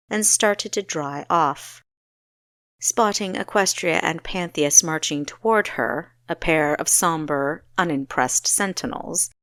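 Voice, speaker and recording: A woman reading a scripted fantasy story as an audiobook narrator, in a measured, expressive storytelling way. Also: lowish voice, no echo, clean studio sound.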